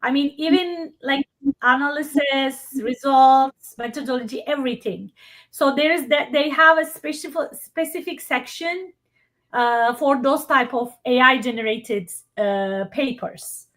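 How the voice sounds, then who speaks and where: A woman presenting a workshop and answering questions over a video call. She speaks haltingly, searching for words in her second language. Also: sits high, a bit bright.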